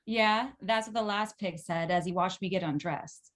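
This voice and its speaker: A woman's voice: medium pitch, moderate pace, a little hiss or hum, natural voice. A woman playing a brash, flirtatious, foul-mouthed sex worker in a screenplay table read, sassy and slangy. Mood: defiant, scornful.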